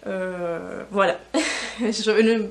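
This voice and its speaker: A woman's voice: medium pitch, very slow, gravelly. Young Spanish-born woman, non-native speaker, casual podcast host, rambling with fillers, camera mic in a shop. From Spain. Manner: rambling, many fillers and restarts, gesturing with hands. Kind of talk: casual video podcast chat. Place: yarn shop in front of shelves.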